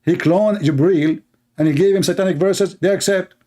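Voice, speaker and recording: A man's voice: sits high, normal speed, warm tone. A man whose first language is Arabic, hosting a live online debate in English with mocking, sarcastic emphasis. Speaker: debate show host.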